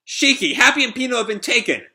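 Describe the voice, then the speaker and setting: A man voicing an excitable, bossy young heroine from a comic, exclaiming urgently. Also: high-pitched, takes it slowly, voice pushed up, heavily acted. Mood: alarmed.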